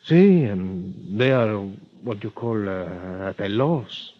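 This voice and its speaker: An actor playing an earnest, grieving doctor with a Spanish accent, speaking formally and haltingly in vintage radio drama style. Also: male voice, on the low side, lively intonation. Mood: grave. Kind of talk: 1940s-50s radio drama acting. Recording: dull vintage radio recording.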